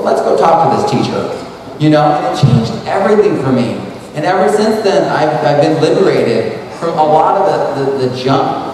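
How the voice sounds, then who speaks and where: A man in his thirties talks loosely and rambles, restarting sentences and dropping "like" everywhere, his voice sitting a little high. He is caught from far off in a big hall, with a long reverb trailing each phrase and faint background noise. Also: darkish tone. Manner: rambling, casual, full of "like" and restarts. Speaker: speaker. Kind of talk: conference talk. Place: large hall at an expo. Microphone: handheld mic. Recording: echoey hall recorded from far off.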